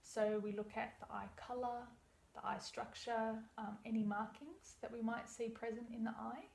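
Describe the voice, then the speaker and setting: A woman's voice: a little high, steady tone, normal speed, gravelly, a little mellow. A young woman explaining an iridology consultation step by step in a reassuring way. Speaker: iridology practitioner. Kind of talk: practice consultation. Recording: echoey room, faint background hum.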